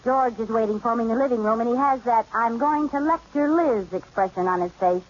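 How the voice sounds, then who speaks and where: An actress playing a scatterbrained, dramatic young housewife in a 1940s radio comedy, flustered and expressive with quick comic timing. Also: female voice, on the high side, lightly acted. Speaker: lead actress in a radio sitcom. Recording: dull old radio broadcast with loud hiss.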